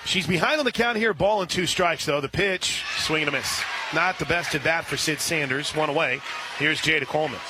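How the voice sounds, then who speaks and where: An American man doing live radio play-by-play of softball, chatty and folksy between pitches. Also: brisk. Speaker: play-by-play announcer. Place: outdoor softball stadium. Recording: noisy outdoor ballpark broadcast. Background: loud ambient ballpark noise.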